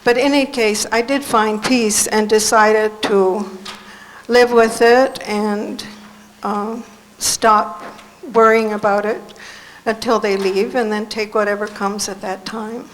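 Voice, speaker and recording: A hoarse woman from the audience, losing her voice, telling a personal story in a halting, rambling way. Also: mid-range voice, steady tone, slight background noise, rough recording. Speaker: audience member. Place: conference hall.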